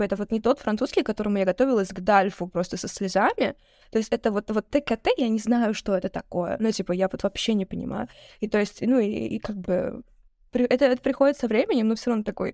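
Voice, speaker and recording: A woman's voice: mid-range voice, dry recording, studio quality. A young woman from Russia, a podcast guest, telling stories in a casual chat and acting out the people she quotes. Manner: rapid, animated storytelling, acting out quoted people.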